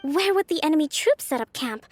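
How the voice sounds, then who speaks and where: A woman voicing a gentle, introverted young priestess and strategist in a video game, soft-spoken, formal and thoughtful. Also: sits high, expressive, a little fast, no room sound. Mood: thoughtful, focused. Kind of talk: video game character voice acting.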